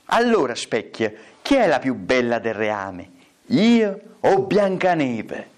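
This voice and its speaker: A man's voice: medium pitch, very animated, slow. A man voicing a vain, bad-tempered stepmother queen in a comic fairy tale, imperious, vulgar and scolding. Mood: threatening.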